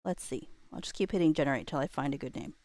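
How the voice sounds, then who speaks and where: A woman's voice: sits low, fast talker, a little mellow, no room sound, studio quality. A woman livestreamer chatting casually and thinking aloud, with a playful, self-deprecating and hesitant manner, muttering to herself as she works.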